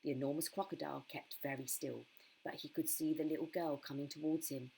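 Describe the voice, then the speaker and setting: A woman's voice: sits low, steady tone, a little fast, gravelly, warm tone, slightly rough, poor audio. A woman reading a children's story aloud as a primary school storyteller.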